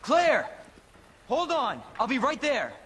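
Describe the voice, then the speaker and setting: An actor playing a young rookie cop in a video game cutscene, earnest, determined and dutiful. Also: male voice, very high voice, huge pitch swings, takes it slowly, a bit bright, a little hiss or hum, heavily acted. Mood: alarmed, shouting. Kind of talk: cinematic game cutscene acting.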